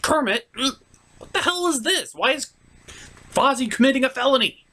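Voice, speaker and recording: A man's voice: very high-pitched, sing-song, normal speed, pushed and bright. A man hosting a scripted comedic review, with theatrical, exasperated reactions. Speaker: host of a review show.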